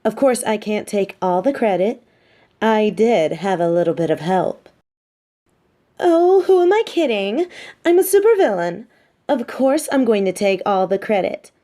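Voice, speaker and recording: Girl doing a smug lady supervillain, soft mellow voice, teasing and kinda mean but secretly caring, audio roleplay thing. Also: medium pitch, big pitch swings, unhurried. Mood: boastful. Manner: taunting, theatrical, conversational. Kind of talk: roleplay audio drama monologue.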